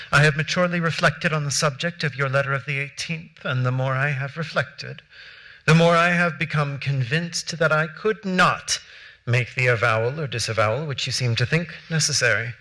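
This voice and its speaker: A young man playing a proud, articulate founding statesman, reading period letters with measured, emphatic delivery on a theater stage. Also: a little high, bright, forceful tone, poor audio. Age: young adult. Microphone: headset mic.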